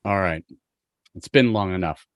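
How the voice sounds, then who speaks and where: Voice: low voice, moderate pace, no echo, studio quality, natural voice. A performer in an online table read playing an impatient, protective young man, urgent and brusque, over a video call. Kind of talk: fan table read acting.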